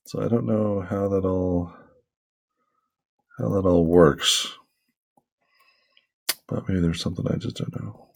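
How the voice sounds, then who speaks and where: A man with a low, gravelly voice talks in a relaxed, rambling way, full of fillers. His tone is soothing and he jokes about his own skill. It feels like easygoing chat to viewers over a drawing session. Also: takes it slowly, long pauses. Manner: rambling, relaxed, self-deprecating, many fillers. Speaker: hobby artist vlogger. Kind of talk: casual talk while drawing.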